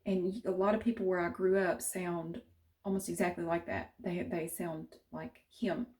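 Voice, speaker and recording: A woman's voice: fairly low pitch, steady tone, normal speed, a little mellow. A middle-aged woman from central North Carolina with a Southern accent, chatting casually to camera with many restarts. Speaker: vlogger.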